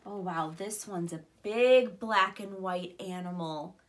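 A woman's voice: medium pitch, takes it slowly, a little hiss or hum. A woman in her thirties reading aloud like a teacher to young children, explaining simply and expressively. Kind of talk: children's read-aloud with questions and commentary. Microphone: camera a short distance away.

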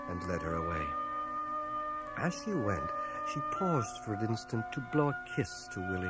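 A man's voice: fairly low pitch, poor audio. A man acting as an earnest, stubborn fellow from a small town, the lead and narrator of a radio drama taped on cassette. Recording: 1980 radio drama from home cassette transfer.

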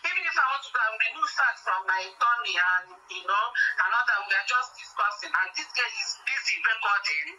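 A woman's voice: a little high, animated, fairly quick, few pauses, bright, forceful tone, faint music behind. A Nigerian woman being interviewed over a tinny phone call, speaking in an emphatic, aggrieved, repetitive way.

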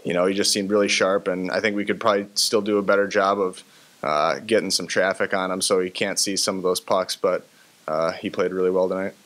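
A young man, a college hockey player, answering questions at a postgame press conference at a table microphone, low-key and halting with many fillers. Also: fairly even intonation, a bit bright. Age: young adult. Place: press room with sponsor backdrop.

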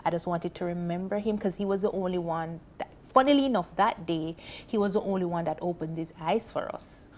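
A woman's voice: fairly low pitch, brisk, few pauses, a little hiss or hum. A woman in her thirties with a Jamaican accent, a guest on a TV panel, telling a personal story earnestly and with feeling, on a lapel mic in a studio. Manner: earnest, emotional, animated with hand gestures. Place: indoor TV studio set on a sofa.

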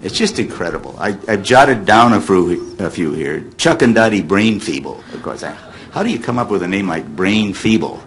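An American man in his seventies reminiscing to an audience as an animated storyteller, drawing out words for effect. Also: fairly low pitch, normal speed, few pauses. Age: 70s. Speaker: former child radio actor on a panel. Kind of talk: reminiscing to an audience, taking questions. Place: hotel meeting room, panel table. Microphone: table microphone on stand. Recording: noisy hotel meeting-room amplification.

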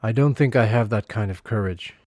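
A man playing a remorseful former soldier who speaks gravely and slowly. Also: lowish voice, a little mellow, dry recording, studio quality, close to an everyday voice. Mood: solemn. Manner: grave and slow.

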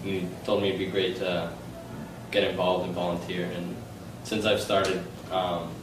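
A man's voice: low voice, flat delivery, unhurried, noisy background. A teenage man, a volunteer tutor, answering interview questions in a classroom with some hesitation. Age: teens. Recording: echoey classroom, distant mic.

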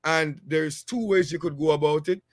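Island-raised man in his thirties, vlog host, easygoing and rambling, fairly high voice, dry close headset sound. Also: moderate pace, dry recording. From a small island. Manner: relaxed, rambling, many fillers. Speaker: channel host, HVAC technician. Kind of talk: casual advice vlog.

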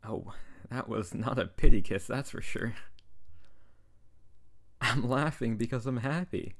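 A man's voice: mid-range voice, measured pace. A man playing a tsundere wizard, a teasing and tender best friend turned lover, in an intimate ASMR roleplay. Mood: flustered, happy.

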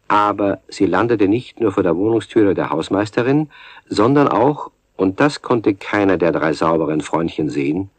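A man's voice: on the low side, no room sound. A man narrating a children's radio play as a storyteller, in a scripted storybook style. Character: male storyteller of a children's tale.

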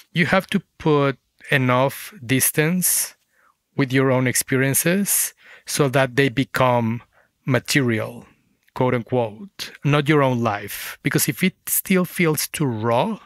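A man's voice: mid-range voice, a bit bright, no echo. Man reflecting in a dry, close interview. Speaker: guest, fiction writer.

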